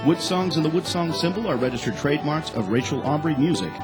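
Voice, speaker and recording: A man's voice: fairly high pitch, expressive, fast. Male radio announcer over guitar music. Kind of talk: scripted broadcast credits read. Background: acoustic guitar music.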